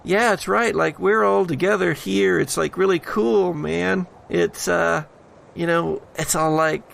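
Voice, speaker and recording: Man doing a higher-pitched, chummy bro dude. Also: steady tone, unhurried, creaky, a bit bright, a little hiss or hum, exaggerated voice. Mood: chummy, relaxed. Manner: casual surfer-like drawl full of fillers. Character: laid-back, friendly young bro dude ghostly voice.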